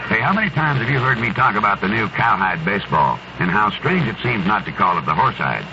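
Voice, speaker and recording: Animated low-voiced man in old radio ad. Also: fast. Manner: chummy, addressing the listener directly. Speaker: commercial pitchman. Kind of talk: folksy radio beer advertisement. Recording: dull noisy 1970s radio broadcast.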